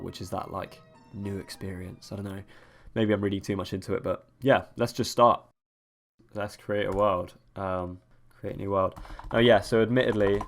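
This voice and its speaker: A young man with a cold, sniffly and croaky, rambling through casual gameplay commentary as a let's-play host. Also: lowish voice. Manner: rambling, many ums and restarts.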